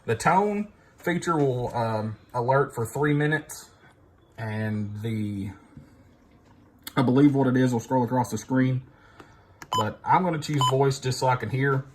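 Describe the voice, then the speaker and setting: A man's voice: medium pitch, unhurried, long pauses. Man, noisy hands-on tutorial. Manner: unscripted, thinking aloud while pressing buttons. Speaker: instructor demonstrating a device. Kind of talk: informal hands-on tutorial, talking through steps. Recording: noisy recording with device clicks and beeps.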